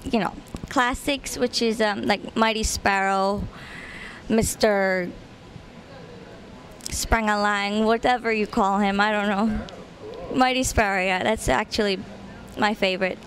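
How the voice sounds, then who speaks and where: A woman's voice: mid-range voice, fairly even intonation, slow, long pauses, a bit bright, slight background noise. A woman speaking earnestly in a casual interview, repeating phrases for emphasis. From Trinidad and Tobago. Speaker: actress being interviewed.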